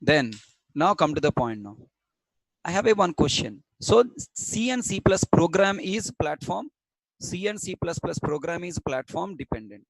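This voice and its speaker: A man's voice: a little high, very animated, moderate pace, no room sound, low-quality recording. Stern Telugu-accented man teaching code. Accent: Indian English, Telugu. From Coastal Andhra, India. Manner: stern, repeats points, quizzes students. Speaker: programming instructor. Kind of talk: online class lecture.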